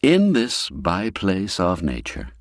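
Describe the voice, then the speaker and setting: Slow, low-voiced male storyteller with lively intonation. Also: no room sound, studio quality. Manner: measured, formal storytelling delivery. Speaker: narrator. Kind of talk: scripted literary narration of a classic folk tale.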